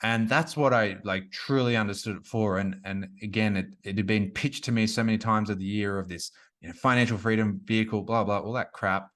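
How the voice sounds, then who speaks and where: Low-voiced chatty man in his forties. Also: fairly even intonation, brisk, few pauses, a bit bright. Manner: chatty, frequent "like" and restarts. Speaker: business coach and author. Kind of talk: casual interview conversation.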